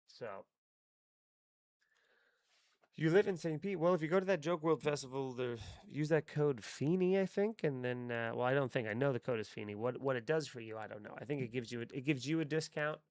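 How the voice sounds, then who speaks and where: A man's voice: mid-range voice, no room sound, clean studio sound. A young man hosting a live stream, chatting casually, rambling and swearing with wry asides. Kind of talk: casual live-stream chat reading chat comments.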